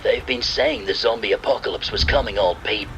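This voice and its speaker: A man's voice: lowish voice, fairly quick, lo-fi sound. A man voicing a frightened old man with folksy phrasing in a horror story, over rain sounds. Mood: alarmed, grim. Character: frightened old man facing the zombie apocalypse. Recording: noisy, steady rain sounds behind.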